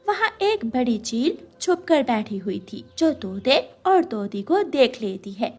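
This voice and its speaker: A woman reading scripted narration for a children's animated story. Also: fairly high pitch, unhurried.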